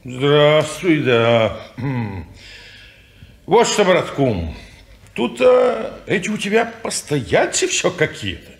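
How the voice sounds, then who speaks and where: A slow grumbling old landlord. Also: male voice, a little high, very animated, creaky, heavily acted. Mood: disapproving. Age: older. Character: old gruff landlord, stern and disapproving. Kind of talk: 1950s radio play acting.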